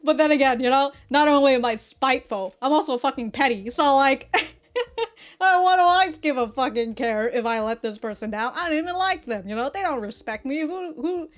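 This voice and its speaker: A woman's voice: high-pitched, expressive, brisk. A woman giving a casual episode review as a reaction host, in slangy, sweary talk with mock indignation and frequent laughter.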